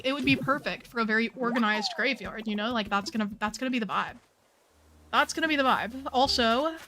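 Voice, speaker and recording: A woman's voice: fairly high pitch, bright, forceful tone. A young woman streaming a cozy game, chatting casually and bubbly with her viewers, her voice a little worn. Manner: chatty, bubbly, says "like" a lot. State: slightly losing her voice.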